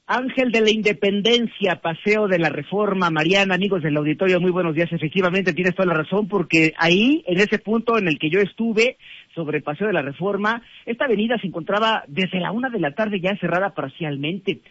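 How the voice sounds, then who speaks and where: A man with a Mexican accent giving a measured radio field report. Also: high-pitched, a bit bright, dry recording, dull audio. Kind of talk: news report narration.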